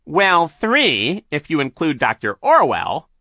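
A man's voice: fairly high pitch, huge pitch swings, bright, forceful tone, no echo, studio quality, voice pushed up, full character voice. A man voicing a gloating, cruel male villain who drags out his threats. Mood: menacing. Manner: menacing, drawn-out threats.

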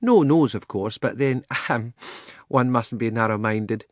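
A man's voice: medium pitch, a little slow, no echo, studio quality, lightly acted. A man voicing a mild, genteel female elephant in a storybook, thoughtful and politely pedantic. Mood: prim, thoughtful.

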